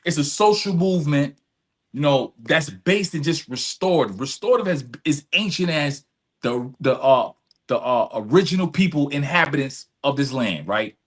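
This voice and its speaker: Male interview guest, voice a little high, speaks in earnest, emphatic, repetitive phrases. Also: animated, moderate pace, fairly bright tone. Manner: earnest, emphatic, repeats phrases, often checks with "right?". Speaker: podcast guest, community service advocate. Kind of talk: reflective personal storytelling in conversation.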